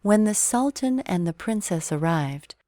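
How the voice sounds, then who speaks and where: A woman reading a children's bedtime story as an expressive storyteller. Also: mid-range voice, measured pace, no echo, clean studio sound.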